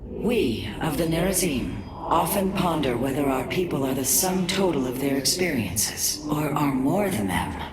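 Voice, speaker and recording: An actress playing a wise, calm female alien adviser in a video game cinematic, with a solemn, formal delivery. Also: deep voice, a little slow, over a noisy crowd. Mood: reflective. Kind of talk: dramatic game cinematic acting. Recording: echoing voice under loud game music. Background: loud cinematic music.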